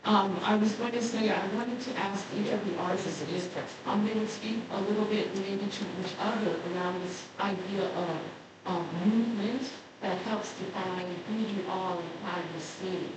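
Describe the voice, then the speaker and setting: Halting woman moderator in an echoey hall. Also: mid-range voice. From Southern United States. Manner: hesitant, many ums, searching for words. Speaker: panel moderator. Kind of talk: moderating a panel discussion. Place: large lecture hall. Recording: echoey hall, speaker far from mic, clear background noise.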